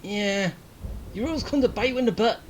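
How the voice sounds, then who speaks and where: A woman's voice: medium pitch, a little fast, a little hiss or hum. A woman doing casual gameplay commentary, reacting and muttering to the game as she plays. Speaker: gaming video host, player. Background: game music.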